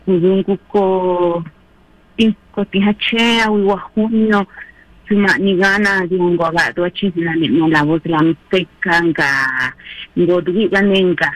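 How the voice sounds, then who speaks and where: A woman whose first language is Triqui, co-hosting a radio show in casual chat, talking softly and unhurriedly with many fillers. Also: on the low side, no room sound, low-quality recording. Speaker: radio co-host. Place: radio studio.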